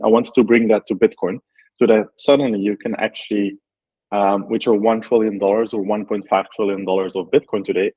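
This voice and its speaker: A man from Belgium with a low, husky voice, explaining his work in an interview. He talks thoughtfully, with frequent ums and likes as he searches for words. The sound is dry and close. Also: dark tone, no room sound, low-quality recording. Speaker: startup founder. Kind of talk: interview answers.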